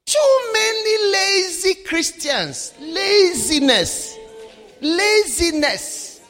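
A man from Africa preaching a sermon, swinging between shouted lines and low, quiet asides. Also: very animated, extremely slow, faint music behind. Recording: live sermon with long hall reverb.

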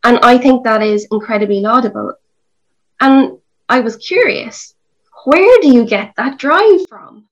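A woman's voice: on the high side, lively intonation, a little slow, muffled recording. A woman with an Irish accent interviewing a guest with encouraging questions.

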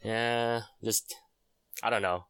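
A young man co-hosting a casual podcast, rambling and halting with many restarts and fillers. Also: on the low side, fairly even intonation, a bit bright, clear, resonant tone, dry recording. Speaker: podcast co-host. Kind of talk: casual chat.

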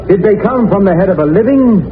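Prosecutor, high-pitched, deliberate courtroom oratory, 1940s radio drama, narrow tinny band. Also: male voice, expressive, roomy sound, slight background noise. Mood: earnest, formal. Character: methodical, earnest male prosecutor addressing a court. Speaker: actor in a radio crime drama.

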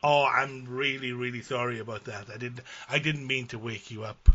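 Welsh man, deadpan prank caller, bright voice, husky, dull lo-fi sound. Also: medium pitch, normal speed. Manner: deadpan, mock-earnest absurd claims. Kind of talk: deadpan prank phone call. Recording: dull lo-fi audio.

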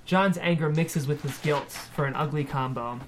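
A man's voice: on the high side, normal speed, a little hiss or hum. A man reading the stage directions as narrator of a screenplay table read.

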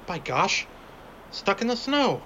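A man's voice: high-pitched, sing-song, takes it slowly, bright, forceful tone, full character voice. A man playing a nervous, superstitious small-town sidekick in old-time radio horror style, folksy, stammering and pleading. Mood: puzzled. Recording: noisy, dull homemade radio drama recording.

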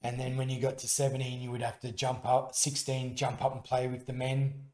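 An Australian man talks through his story as a radio guest, earnest and a little halting, often restarting his sentences. His voice sits at an ordinary pitch, and the studio adds a roomy echo around it. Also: monotone, a little mellow. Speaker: musician guest. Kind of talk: casual radio interview.

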